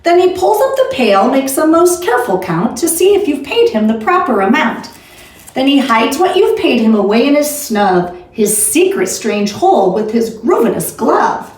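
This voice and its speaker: Echoey, animated woman reading a story. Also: sits high, moderate pace. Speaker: school staff member reading aloud. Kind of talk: dramatic children's storybook reading. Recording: very echoey room, distant mic.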